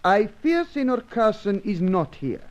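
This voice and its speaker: A man's voice: high-pitched, big pitch swings, unhurried. A man playing a loyal, shady South American manservant in a spy radio serial, speaking in formal, ornate, deferential phrases. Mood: formal, guarded. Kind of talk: old-time spy radio serial acting.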